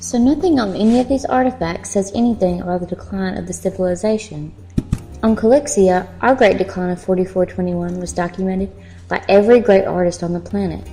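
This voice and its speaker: A woman's voice: mid-range voice, a little fast, dark tone, faint music behind, close to an everyday voice, poor audio. A woman playing a curious, sceptical young travelling companion in an audio drama, questioning and a little dry.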